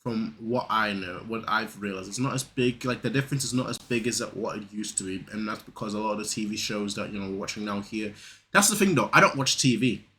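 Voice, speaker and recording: Bright-voiced young man rambling casually. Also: on the low side, a little fast, slight background noise. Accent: northern English, Manchester and Lincoln, with a German trace. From south Germany. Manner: rambling, many fillers and restarts. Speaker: reaction video host. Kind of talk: casual reaction commentary.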